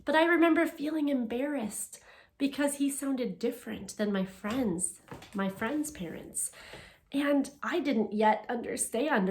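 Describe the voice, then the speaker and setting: A woman teacher leading a read-aloud and art lesson for children, speaking in simple, gentle, encouraging phrases. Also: a little high. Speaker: elementary school teacher.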